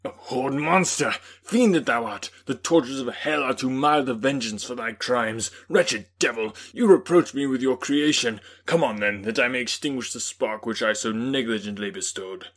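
A man voicing a furious young male scientist who bursts into loud, declamatory outbursts. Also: mid-range voice, fairly even intonation, brisk, few pauses, close to an everyday voice. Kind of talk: dramatic audiobook reading.

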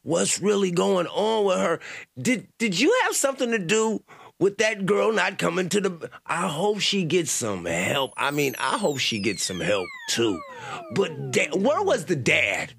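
An older man hosting a podcast, reacting to news clips in a loud, profane, stammering way with many restarts. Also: high-pitched, very animated, unhurried, vocal fry, a little hiss or hum. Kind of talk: casual commentary reacting to clips.

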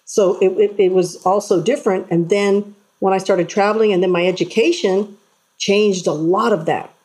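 Woman interview guest, earnest storyteller, husky and warm in tone. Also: medium pitch, normal speed, rough recording. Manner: earnest, animated storytelling with acted-out voices. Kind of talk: casual interview chat.

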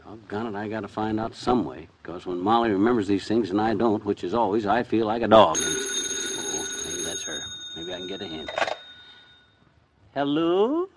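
A man's voice: on the low side, lively intonation, moderate pace, background noise. A man playing a forgetful, flustered husband in an old-time radio comedy, blustering in folksy, ungrammatical talk. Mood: grumbling, pleading.

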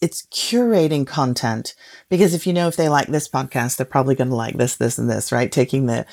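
Female expert guest, low register, conversational and explanatory, tags points with quick check-in questions. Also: gravelly, a little mellow. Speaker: podcast industry guest expert.

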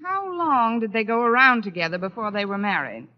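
A woman acting a sharp-witted schoolteacher in an old radio comedy, delivering dry, sardonic wisecracks in an arch manner. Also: fairly high pitch, sing-song, fairly quick. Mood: arch, wry. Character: wry, sharp-witted single female schoolteacher. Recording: dull, noisy old radio recording.

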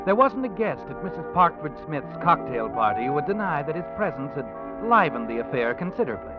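A man's voice: on the high side, very animated, fairly quick. A man playing an omniscient, sinister storyteller, narrating an old radio mystery dramatically. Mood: wry, knowing. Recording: dull vintage radio recording. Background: music.

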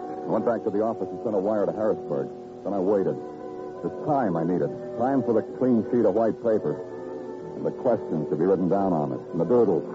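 An American actor playing a weary, hardboiled police detective in a radio crime drama, terse, clipped and brooding. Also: male voice, fairly low pitch, natural voice. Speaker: actor, lead and narrator. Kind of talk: 1950s radio crime drama acting, hardboiled first-person narration. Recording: muffled old radio recording with music.

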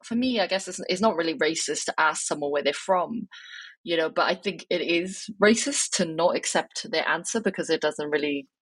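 A woman's voice: low voice, moderate pace, a bit bright, breathy edge, dry recording. A young woman from Wales, talking reflectively as an interview guest, with many fillers and restarts. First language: Cantonese.

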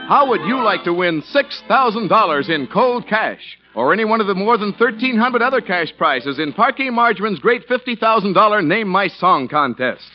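A man's voice: sits high, sing-song, moderate pace, few pauses. A man announcing in scripted 1940s radio style, emphatic and salesmanlike. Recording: muffled old radio recording. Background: faint music.

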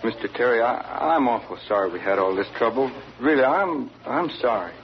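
An actor playing a polite, earnest young country son in a radio drama, hesitant and gentle. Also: male voice, mid-range voice, animated, normal speed, a little hiss or hum, lightly acted. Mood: anxious, earnest. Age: young adult. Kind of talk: old-time American radio drama acting and narration. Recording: muffled old radio recording, loud music behind.

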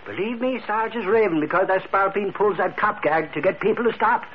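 An actor playing a folksy, blustery comic reporter with an Irish accent in an old radio drama. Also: male voice, high voice, a little fast, lightly acted. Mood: chatty. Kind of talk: 1940s radio acting. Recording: muffled vintage radio recording, faint noise.

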